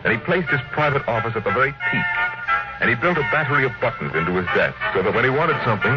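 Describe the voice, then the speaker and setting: Man as animated, theatrical vintage radio storyteller. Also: mid-range voice, lightly acted. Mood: dramatic. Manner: emphatic, deliberate, rhetorical. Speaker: narrator. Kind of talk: old-time radio drama narration. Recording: dull vintage radio recording. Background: music.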